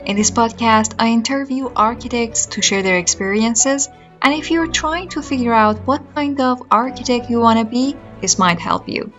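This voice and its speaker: Woman podcast host, Persian first language, upbeat and laughing, slightly muffled sound, soft music underneath. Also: a little high, normal speed. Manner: upbeat, laughing between phrases. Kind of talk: podcast intro and interview.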